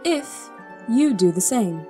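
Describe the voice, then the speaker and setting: A woman's voice: on the high side, lively intonation, slow, dark tone, faint music behind. A woman playing an earnest, devoted young princess in an audio drama, speaking articulately. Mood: earnest, pleading. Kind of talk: audio drama acting with first-person narration.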